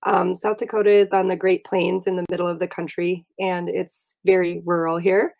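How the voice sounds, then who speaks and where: Woman with a cold, gravelly voice. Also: fairly low pitch, level tone, normal speed, a little mellow. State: has a cold. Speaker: nursing student. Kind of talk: panel talk. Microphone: video call.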